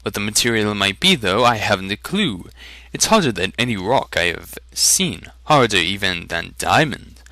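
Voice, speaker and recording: A man voicing a smooth, courtly merchant in a fantasy audiobook reading, with a theatrical salesman's manner. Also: on the low side, big pitch swings, moderate pace, bright, forceful tone, no echo, heavily acted. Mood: puzzled. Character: smooth male trader, salesman-like, secretive, easily irritated.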